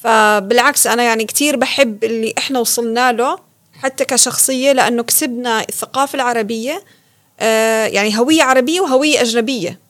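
A woman, born in Kuwait, telling her life story as an interview guest with lively laughter. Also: a little high, quick pace. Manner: animated storytelling, laughs often. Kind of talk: casual interview.

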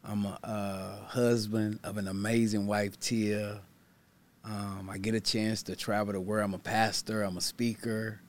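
A man's voice: on the low side, little pitch movement, a little slow. A man from Baton Rouge, telling his story as a guest in a candid interview, in a laid-back, plainspoken, slangy way. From Baton Rouge, Louisiana. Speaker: guest, pastor and motivational speaker.

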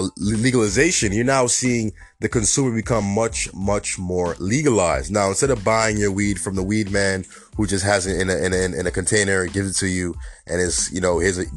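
A man with a New York accent hosting a casual solo podcast, talking in a chatty way full of "you know" and "honestly," his voice raspy and hoarse from a slight cold. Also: on the low side, brisk, hardly any pauses, faint music behind. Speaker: podcast host.

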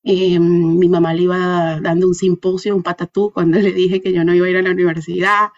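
Woman, Venezuelan accent, lowish voice, reflective interview guest, searching for words, dull lo-fi recording. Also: level tone, fairly quick. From Caracas, Venezuela. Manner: thoughtful, searching for words, seeking agreement with tag questions. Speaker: interview guest, dancer and artist. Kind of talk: reflective conversational interview answers.

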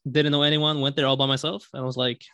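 A man's voice: mid-range voice, little pitch movement, fast talker, fairly bright tone, no echo. A young man from Sweden telling a story as a podcast guest, with many fillers and restarts. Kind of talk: casual storytelling.

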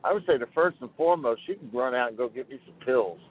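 A man's voice: mid-range voice, lively intonation, fast talker. A man in casual chat, hesitant and flustered as he jokes along on the spot. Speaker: show participant put on the spot. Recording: tinny phone line with clear noise.

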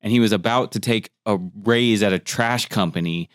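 Low-voiced casual male podcast host. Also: moderate pace, no room sound, clean studio sound. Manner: loose, swears casually, rising pitch on questions. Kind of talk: casual interview chat.

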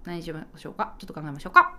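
Excitable lady podcaster, talks fast n emphatic, super lively pitch, casual banter. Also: a crowd in the background. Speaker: podcast co-host.

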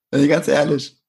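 Husky, upbeat podcast host. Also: male voice, on the high side, darkish tone. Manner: enthusiastic, laughs often, casual slang. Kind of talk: casual interview talk.

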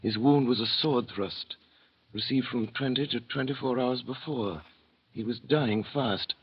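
An actor playing a despairing imprisoned physician in a 1930s radio drama, speaking in a grave, measured, melancholy way. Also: male voice, medium pitch, husky. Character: despairing imprisoned French physician narrating his grim past. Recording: dull lo-fi vintage radio recording.

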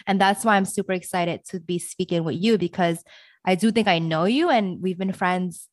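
A woman's voice: on the low side, moderate pace, no echo. A young woman hosting a podcast, chatty and bubbly, laughing often and rambling through her questions. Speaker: podcast host. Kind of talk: casual interview chat.

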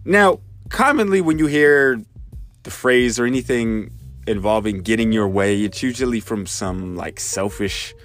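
A man's voice: lowish voice, measured pace, fairly bright tone, soft background music. A man in his thirties, congested, hosting a podcast and thinking aloud, with many fillers and restarts. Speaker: podcast host. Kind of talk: casual spoken reflection.

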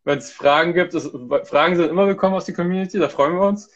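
A man on a live video call, talking casually with a slightly high voice and many false starts. His sound is muffled and lo-fi, like call audio. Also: quick pace. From Hamburg, Germany. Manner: hesitant, many restarts and fillers. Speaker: content manager, guest. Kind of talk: casual live-stream chat.

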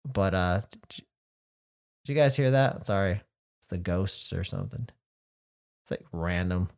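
A man with a nasal, stuffed-up voice that sits a little low. He talks loosely and swears freely, poking fun at himself while he chats on a podcast. He sounds tired. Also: a little slow, creaky, darkish tone. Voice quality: nasal, congested. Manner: loose, profane, self-deprecating. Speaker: podcast co-host. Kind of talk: casual podcast chat.

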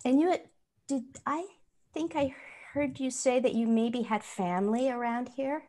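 A woman's voice: sits high, normal speed, warm tone. A woman hosting an interview who asks questions and often gives encouraging murmurs. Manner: encouraging, frequent affirming murmurs. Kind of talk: casual conversational interview.

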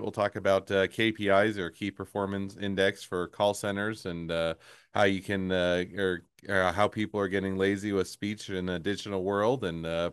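Male podcast host, low smooth voice, dry close sound, halting with fillers. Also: fairly bright tone, no room sound. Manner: hesitant, many fillers and restarts. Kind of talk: podcast interview.

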